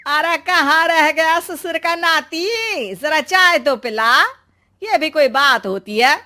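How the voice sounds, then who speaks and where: A woman voicing a bossy mother-in-law who scolds and taunts her grown son theatrically in an animated story. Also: sits high, pitched above the performer's own voice, full character voice. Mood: angry bossy. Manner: theatrical, sarcastic, drawn-out scolding. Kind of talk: animated Hindi moral story acting.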